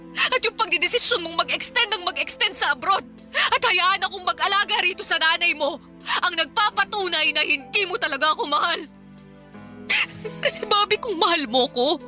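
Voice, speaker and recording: A Filipino actress playing an embittered, worn-out live-in partner in a radio drama, reproachful, tearful and emphatic. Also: female voice, very high-pitched, bright, forceful tone, exaggerated voice. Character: embittered, exhausted live-in partner and young mother leaving. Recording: music bed under the voice.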